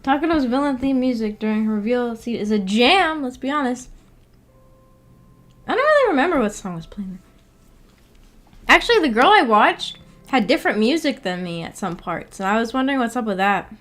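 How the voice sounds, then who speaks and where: A woman's voice: a little high, sing-song, moderate pace, long pauses, a bit bright. A woman hosting a livestream, chatting casually in a musing, hesitant, giggly way. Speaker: livestream host. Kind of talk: casual livestream chat about a visual novel.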